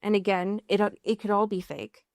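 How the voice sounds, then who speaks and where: A woman's voice: mid-range voice, flat delivery, a little fast, darkish tone, dry recording, studio quality. An American woman co-hosting a casual recap podcast, emphatic and sweary, often rambling and correcting herself as she goes. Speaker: podcast co-host. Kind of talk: casual TV and film recap chat.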